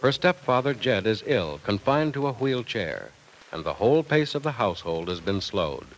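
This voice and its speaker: A man's voice: fairly low pitch, lively intonation, moderate pace, slight background noise, low-quality recording. An American man announcing in a polished, emphatic 1950s radio style, reading scripted copy. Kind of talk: 1950s radio sponsor pitch and scripted narration.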